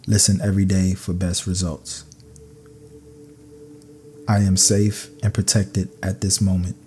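A man narrating scripted sleep meditation affirmations in a calm, soothing, measured way. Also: deep voice, a little hiss or hum.

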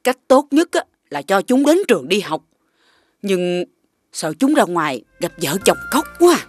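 A woman voicing a doting, anxious catfish mother in an animated children's fairy tale. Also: medium pitch, measured pace, no room sound, studio quality. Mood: anxious, motherly. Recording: very loud music under the voice.

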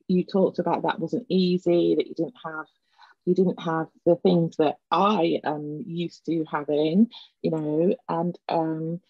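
A woman's voice: lowish voice, no room sound, highs cut off. A woman hosting a podcast interview in a conversational way. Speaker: podcast host.

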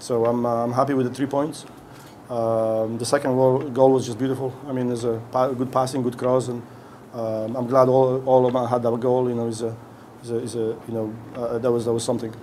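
A middle-aged man speaks as a head coach at a press conference podium, his voice dark and mellow. He talks haltingly, restarting phrases as he hunts for words. Faint background noise sits under the podium mic. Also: medium pitch, level tone. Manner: halting, many restarts while searching for words. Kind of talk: post-game press conference answers. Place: indoor press room. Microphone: podium mic on a stand.

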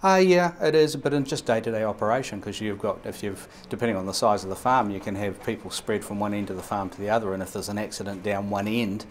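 A man's voice: on the low side, a little hiss or hum. A man in his fifties with a New Zealand accent, giving long, run-on answers full of fillers and restarts in an interview, recorded on a lapel mic in a showroom. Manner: fast, run-on explanations with many fillers and restarts. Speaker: radio equipment supplier. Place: indoor showroom.

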